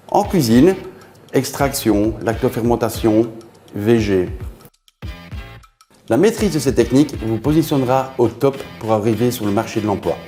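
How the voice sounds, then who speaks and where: A man, a hospitality trainer reading scripted promotional narration in a measured way. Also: lowish voice, mellow. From Belgium.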